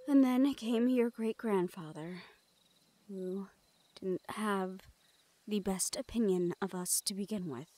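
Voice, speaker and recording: A woman's voice: mid-range voice, slow pace, mellow, natural voice. Woman acting a fond, teasing fantasy thief. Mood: somber storytelling. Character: teasing, protective young female shadow-folk thief, fond and confident. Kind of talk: intimate fantasy audio roleplay acting.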